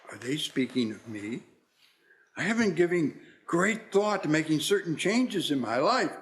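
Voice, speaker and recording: A man's voice: a little high, very animated, a little slow. A man playing an anxious, repentant old miser, pleading theatrically in a radio play. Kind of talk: stage radio play acting.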